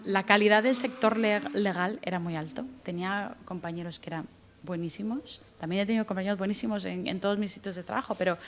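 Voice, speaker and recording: A woman in her thirties from Guatemala, with a faint Guatemalan accent softened by Spanish from Spain, answering interview questions as a guest lawyer with frequent restarts and fillers. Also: medium pitch, fast, a little hiss or hum. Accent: light Guatemalan, mostly Spanish from Spain. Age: 30s. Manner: thoughtful, many restarts and fillers, lively rises when retelling.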